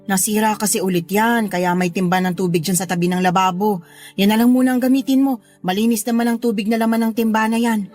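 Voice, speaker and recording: A woman plays a middle-aged mother in a Tagalog radio drama, speaking at a medium pitch. She is meek and gentle, apologizing as she explains. Faint music sits behind her. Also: steady tone, fast talker, close to an everyday voice. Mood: apologetic, explaining. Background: soft music.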